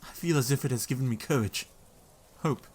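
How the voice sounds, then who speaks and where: A man's voice: mid-range voice, a little fast, husky, natural voice. A man playing an earnest devoted young husband. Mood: tender. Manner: earnest, tender, soft-spoken. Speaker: narrator of his own journal. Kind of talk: audio drama acting.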